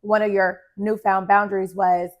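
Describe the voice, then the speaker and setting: Raspy young woman on a podcast, blunt and casual, mid pitch. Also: level tone, normal speed. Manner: blunt, laughing, unapologetic. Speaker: podcast co-host. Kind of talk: casual chat.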